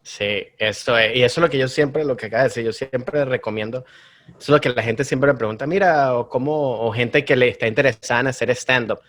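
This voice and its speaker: A man with a Venezuelan accent, a stand-up comedian chatting as an interview guest in a relaxed, rambling way. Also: medium pitch, a little fast. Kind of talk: casual conversation.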